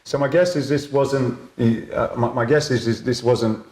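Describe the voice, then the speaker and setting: A man's voice: mid-range voice, normal speed, a little mellow. English man interviewing in a roomy space. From England. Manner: friendly, lots of short agreements. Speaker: podcast host. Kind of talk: casual interview. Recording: roomy echo, faint noise.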